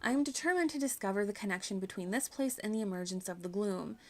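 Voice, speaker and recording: A woman's voice: medium pitch, expressive, fast, close to an everyday voice. A woman reading video game dialogue aloud as an earnest, studious young princess, in a formal manner. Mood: determined.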